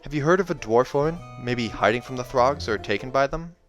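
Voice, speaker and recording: A man's voice: medium pitch, brisk, natural voice. Guy reading as a brooding adventurer guy, terse and searching, fantasy audiobook with music. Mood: urgent.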